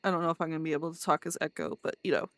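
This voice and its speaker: A roleplay streamer with a cold, sounding nasal and congested, giving short, low-key replies. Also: fairly even intonation, rapid-fire, a bit bright, dry recording. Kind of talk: casual stream chat and in-character roleplay.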